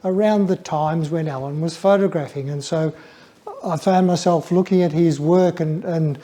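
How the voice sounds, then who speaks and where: Older Australian male speaker for an informal illustrated lecture; soft-edged mellow tone, slightly high, halting and thoughtful. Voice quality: flat, dull voice. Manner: hesitant, stammering over word starts, reflective. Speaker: guest speaker, former museum photography curator. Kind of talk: informal illustrated public talk.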